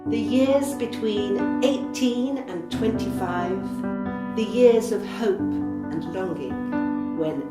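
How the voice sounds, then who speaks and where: An older woman from the north of England speaks in a slow, measured, almost poetic way. Her voice sits in a roomy space, with loud guitar and piano music playing behind it. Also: mid-range voice. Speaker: interviewee and narrator. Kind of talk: reflective spoken recollection.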